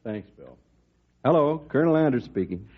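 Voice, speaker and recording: Man playing blustering colonel, animated delivery. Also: mid-range voice, moderate pace, lightly acted. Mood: flustered. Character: gruff, worried senior forest service colonel. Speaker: commanding officer. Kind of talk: old-time radio adventure acting. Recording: muffled old radio recording.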